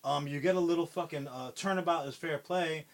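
Guy on a podcast, kinda high voice, rambling and cussing a ton, lots of ums. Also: brisk. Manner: rambling, heavy swearing, lots of fillers. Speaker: podcast co-host. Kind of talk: casual pitch-style movie retelling.

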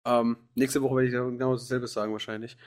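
A man talking casually on a podcast. He rambles and restarts often, piling fillers between thoughts. His pitch sits in an ordinary middle range. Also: flat delivery, brisk. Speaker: podcast co-host. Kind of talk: casual chat about anime.

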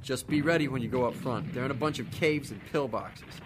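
A man's voice: medium pitch, fairly quick, lightly acted. A man playing a grim Marine soldier briefing his comrades at the front in a war radio drama. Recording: noisy gunfire and artillery behind the voice.

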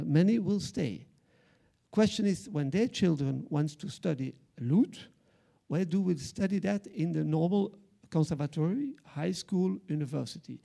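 Middle-aged Flemish-accented man, arts director at a panel; mellow, gravelly voice, halting delivery. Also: on the high side. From Belgium. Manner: halting, many fillers and restarts. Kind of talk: panel discussion remarks.

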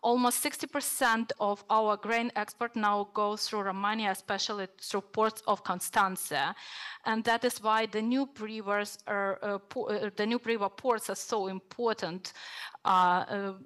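Woman from Ukraine, English, panelist, halting with pauses. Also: medium pitch, steady tone, fairly bright tone. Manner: halting, many hesitations. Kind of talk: policy seminar discussion.

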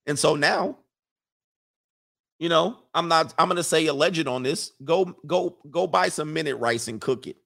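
A man's voice: on the high side, moderate pace, vocal fry, fairly bright tone. A man hosting a livestream, rambling casually, reading chat comments aloud and laughing often. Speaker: livestream host.

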